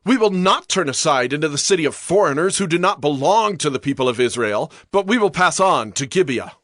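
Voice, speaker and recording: An actor playing a resolute traveler and master, speaking in an earnest, declamatory way in scripture drama. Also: male voice, on the high side, lively intonation, fairly quick, a bit bright, no echo, studio quality. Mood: firm. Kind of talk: dramatized audio Bible acting. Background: background music.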